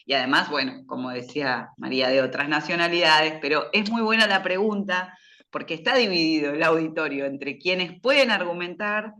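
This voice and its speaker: A man's voice: fairly high pitch, pushed and bright. A man, a student speaking up in class in a chatty way.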